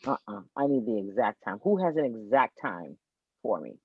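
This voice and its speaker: A man from Miami, Florida, explains birth charts as a podcast guest astrologer. He talks with lively, teacherly energy and circles back to repeat his main points. His voice comes through a tinny, phone-like line that sounds dry and close. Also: mid-range voice, normal speed, creaky, husky, no room sound.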